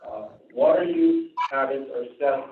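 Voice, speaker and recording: A man with an Indian accent reading policy items at a public board meeting, often pausing with "uh", restarting words and adding casual asides. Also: medium pitch, wildly swooping pitch. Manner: reads from notes with frequent "uh" and restarts, adds casual asides. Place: meeting room. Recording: distant, phone-like, roomy with faint hiss.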